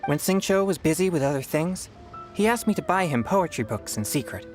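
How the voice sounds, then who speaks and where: A woman's voice: deep voice, expressive, fairly quick, music in the background. A woman voicing an easygoing, loyal and slightly flustered young character in a video game.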